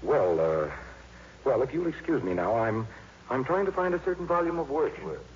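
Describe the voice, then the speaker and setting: An actor playing an affable, self-assured young high school teacher with a genial manner in a 1950s radio drama. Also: male voice, animated, moderate pace, a little mellow, natural voice. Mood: polite, affable. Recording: muffled, noisy 1950s radio recording.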